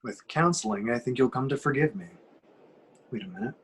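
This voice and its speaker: A man playing a gruff, confident superhero, stern and dry, with a husky voice and clipped delivery in a script read. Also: mid-range voice, expressive, fairly quick, fairly bright tone, slight background noise, lightly acted. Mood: wry. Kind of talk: online table read of a film script.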